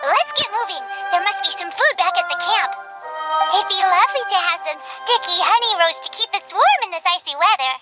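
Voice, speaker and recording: A woman's voice: lively intonation, fairly quick. A woman voicing a tiny, childlike, cheeky and bossy fairy companion in an anime-style video game. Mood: perky. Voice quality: squeaky, childlike. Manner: refers to herself in the third person, perky. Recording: music behind the voice. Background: prominent music.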